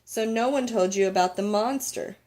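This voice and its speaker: A woman's voice: mid-range voice, normal speed, darkish tone, close to an everyday voice. Storybook role: grouchy old building super, a grumbling man who knows old secrets , played by a woman. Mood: grave. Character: grumpy old male building superintendent, gruff but knowing.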